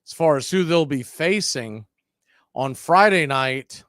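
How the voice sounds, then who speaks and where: A man's voice: takes it slowly, fairly bright tone, dry recording, clean studio sound. Opinionated male baseball play-by-play caller. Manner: opinionated, blunt asides between pitches. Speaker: play-by-play radio announcer. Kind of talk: fan-stream baseball play-by-play commentary.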